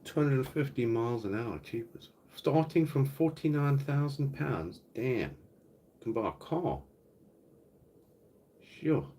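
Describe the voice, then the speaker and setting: A middle-aged man with a South African accent, hosting a casual live Q&A stream from home. He chats in a relaxed, rambling way and reads out viewers' comments as he goes. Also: mid-range voice, slow, long pauses, a little hiss or hum. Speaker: livestream host. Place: home, indoors.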